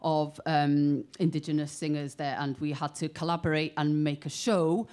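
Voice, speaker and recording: Low-voiced Welsh woman telling stories. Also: normal speed. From Wales. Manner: animated storytelling, laughs often, restarts phrases. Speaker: singer in a band. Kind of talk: casual panel chat.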